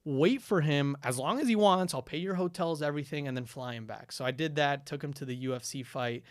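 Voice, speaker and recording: Young dude from ecuador, casual storytelling, lots of restarts, dry close sound. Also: male voice, a little high, brisk, no echo. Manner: animated storytelling, frequent "dude" and "like", many restarts. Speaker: guest. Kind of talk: casual podcast conversation.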